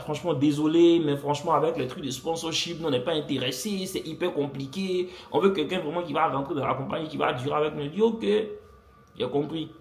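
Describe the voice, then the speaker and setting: A young man vlogging, animatedly telling a personal story to his viewers, repeating phrases for effect. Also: fairly high pitch. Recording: echoey room.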